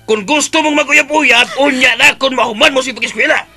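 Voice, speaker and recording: An angry, scolding father from a radio drama. The voice is high, piercing and forceful, berating a schoolgirl over faint music. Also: a little fast. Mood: furious scolding. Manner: barking, berating.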